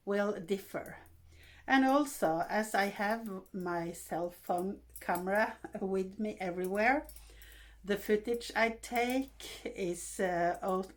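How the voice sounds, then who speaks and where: A Norwegian woman in her sixties hosts her own vlog, speaking English as a second language with a clear Norwegian accent. She talks slowly, at a mid pitch, stopping often with fillers and restarting her sentences. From Norway. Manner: unhurried, halting, with frequent fillers and restarts. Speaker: vlog host. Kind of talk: casual vlog talk.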